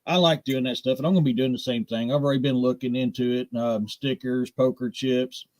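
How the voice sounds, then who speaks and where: A man's voice: medium pitch, steady tone, a little fast, a little mellow, no room sound. Blunt American man, dry close sound. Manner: blunt, direct, folksy. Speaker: podcast co-host. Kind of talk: casual live podcast chat.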